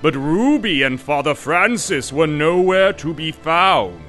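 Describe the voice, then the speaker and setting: A man's voice: fairly high pitch, animated, unhurried, sharp tone, soft background music. A man delivering theatrical scripted narration as a story recap.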